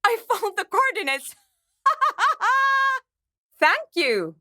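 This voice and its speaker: A woman's voice: very high voice, very animated, drawn-out words, sharp tone, no room sound, exaggerated voice. An actress playing a brusque, nerdy woman programmer in a video game, with a dry, matter-of-fact, sardonic manner. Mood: excited. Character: brusque, nerdy young woman programmer researching a radio anomaly. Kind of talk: video game character dialogue.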